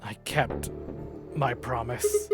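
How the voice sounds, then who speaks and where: A man's voice: mid-range voice, sing-song, slow pace, gravelly, voice pushed down, exaggerated voice. Guy playing a worn-out stubborn young dude, strained, music in back. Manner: strained, urgent and demanding. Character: weakened young man, stubborn. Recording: game music playing behind.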